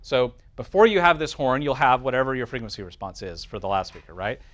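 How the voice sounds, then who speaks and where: A man's voice: mid-range voice, quick pace, a bit bright. A man teaching a class in an informal, explanatory way, walking through technical material and checking in with listeners as he goes. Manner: explanatory, checks in with listeners using right and okay. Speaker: teacher, lecturer.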